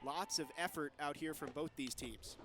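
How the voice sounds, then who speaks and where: A young man doing TV play-by-play commentary of a softball game. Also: on the high side, normal speed. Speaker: play-by-play announcer.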